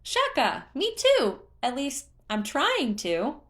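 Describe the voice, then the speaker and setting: A woman voicing a childlike, excitable and bashful male forest spirit, given to exclamations, in a storybook reading. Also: high-pitched, slow, pitched above the performer's own voice, full character voice. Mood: chatty, hopeful. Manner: exclaiming, hesitant when flustered, singsong catchphrases.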